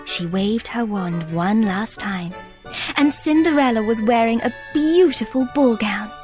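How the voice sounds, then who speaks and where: A woman narrating a scripted children's story in an expressive, dramatic way. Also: fairly high pitch, a little slow, faint music behind.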